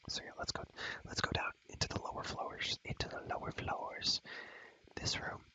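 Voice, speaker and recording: A man's voice: normal speed, bright, forceful tone, low-quality recording. A young man whispering ASMR commentary over a game in a rambling, enthusiastic, slangy way, often repeating his phrases. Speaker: ASMR creator showing a game world.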